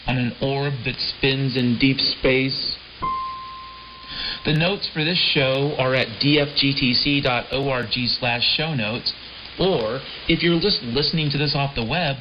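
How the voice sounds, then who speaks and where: American radio host over loud keyboard music. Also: male voice, mid-range voice. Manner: wry, laid-back, repeats phrases, rhetorical questions. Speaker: shortwave radio show host. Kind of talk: rambling late-night radio monologue. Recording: dull lo-fi sound under prominent keyboard music. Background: piano-like keyboard music.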